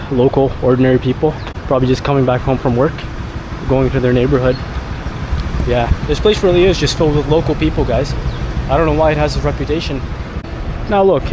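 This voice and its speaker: A man's voice: mid-range voice, fairly quick, long pauses, noisy background. A Canadian man walking through a city market outdoors, chatting upbeat to his viewers as a travel vlogger. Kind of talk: handheld travel vlog narration.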